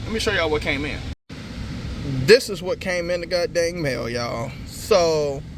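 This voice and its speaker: A man's voice: fairly high pitch, unhurried. A man talking casually and informally in slangy, swear-sprinkled speech, as a vlogger walking viewers through hands-on work. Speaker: car audio vlogger. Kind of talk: casual hands-on install vlog talk. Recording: noisy vehicle and outdoor phone-video sound.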